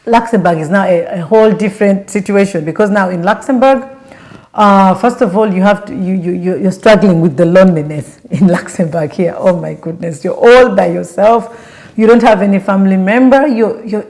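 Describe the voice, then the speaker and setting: A young woman with a Ugandan accent talks loosely to her viewers, with plenty of restarts and fillers as she poses questions to them. Her voice sits at a middle pitch with a dark, mellow tone. She sounds like an easygoing vlogger chatting straight to camera. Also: normal speed. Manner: rambling, with many restarts and fillers, asking the viewers directly. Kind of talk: casual chat to camera.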